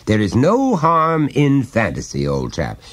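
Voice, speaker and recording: A man's voice: medium pitch, wildly swooping pitch, measured pace, pitched above the performer's own voice. A man doing a pompous lecturing father. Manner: condescending, explaining at length. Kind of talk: audiobook reading.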